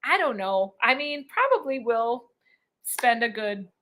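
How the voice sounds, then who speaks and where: A woman's voice: fairly high pitch, big pitch swings, measured pace, background noise. A middle-aged woman hosting a casual cruise live stream, chatting, laughing often and reading out viewer comments. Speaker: cruise vlog host.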